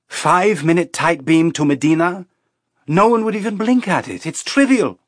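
A man's voice: medium pitch, lively intonation, brisk, no room sound, clean studio sound, lightly acted. A man voicing an outspoken, frustrated woman spaceship crew member in an audiobook, with a blunt, informal manner. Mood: insistent. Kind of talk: audiobook character acting.

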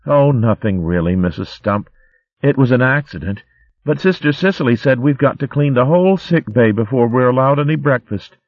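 A man's voice: medium pitch, lively intonation, moderate pace, no room sound, highs cut off, voice pushed up. A man voicing an eager young female hedgehog in a storybook reading. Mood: lively.

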